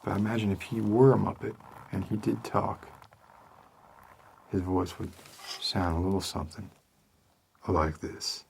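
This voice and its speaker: Slow, low, creaky-voiced man, deadpan. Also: a little hiss or hum. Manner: deadpan, hesitant, rambling. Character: deadpan, world-weary male homicide detective. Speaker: ASMR roleplayer playing a homicide detective. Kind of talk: slow ASMR roleplay monologue.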